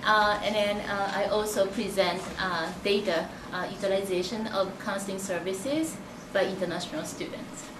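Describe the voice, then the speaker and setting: A woman's voice: medium pitch, normal speed. A woman with a Japanese accent introducing herself on a panel, hesitant with many uhs. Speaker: postdoctoral fellow. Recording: echoey classroom, distant mic, noisy.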